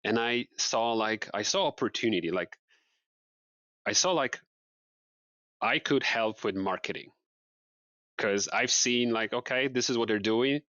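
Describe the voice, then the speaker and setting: A man in his thirties from Poland, a guest in a casual interview, relaxed and repeating his words with light laughs. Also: medium pitch, a little slow, pauses often, no echo, lo-fi sound. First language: Polish.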